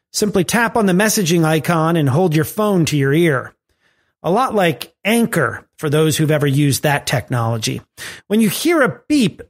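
A man hosting a tech and marketing podcast, reading a scripted segment with lively rising and falling emphasis. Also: sits high. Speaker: podcast host.

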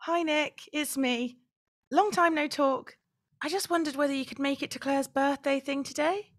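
A woman's voice: high voice, moderate pace, lightly acted. An actress playing an awkward, self-effacing young woman in a naturalistic radio drama, tentative and rambling. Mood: awkward, hopeful.

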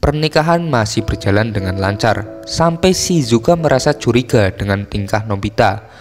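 A man's voice: lowish voice, lively intonation, normal speed. An Indonesian man narrating a story recap in a casual scripted style, with colloquial, teasing asides. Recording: long reverb, music behind. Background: music.

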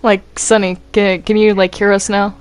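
A woman's voice: medium pitch, little pitch movement, moderate pace, soft background music. A woman chatting casually as she hosts a multiplayer game roleplay session. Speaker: host of a Minecraft roleplay series. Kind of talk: casual gaming chat.

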